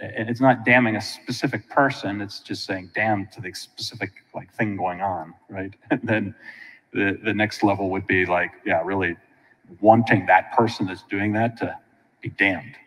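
American priest preaching in a reverberant church. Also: male voice, fairly low pitch, moderate pace. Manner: colloquial and animated, with frequent asides to listeners. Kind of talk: informal conversational sermon. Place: large church sanctuary, indoors.

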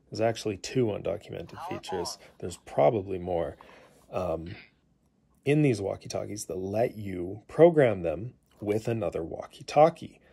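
A man's voice: lowish voice, gravelly, a little mellow, slightly rough. A man giving a casual, unscripted gadget tutorial, explaining things in a hesitant, rambling way. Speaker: hobbyist demonstrating a gadget. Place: indoors in a living room.

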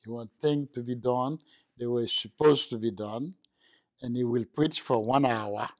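Older man, soft halting memories, dry mic. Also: mid-range voice, no room sound. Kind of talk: interview reminiscence.

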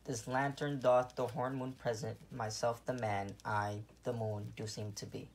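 A man's voice: mid-range voice, level tone, a little slow, slight background noise, close to an everyday voice. A man playing an exasperated amateur actor as the moon in a puppet show, speaking in a plodding, literal way.

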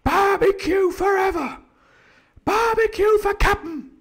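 A man voicing excitable pirate mutineers in a storybook reading, chanting in shrill shouts. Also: slow pace, creaky, heavily acted. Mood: rowdy cheering. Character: excitable crowd of cheering male pirate mutineers.

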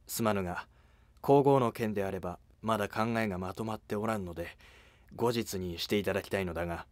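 A man's voice: fairly low pitch, dry recording, pitched below the performer's own voice, close to an everyday voice. Man acting cautious ancient Japanese emperor, formal. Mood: reserved. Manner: formal, regal, measured. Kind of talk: historical animated drama acting.

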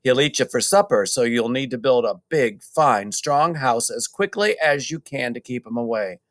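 Mother pig for a picture book, played by a man: earnest, protective, animated delivery. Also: mid-range voice, normal speed, no room sound, clean studio sound, natural voice. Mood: cautioning. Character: caring, cautioning mother pig.

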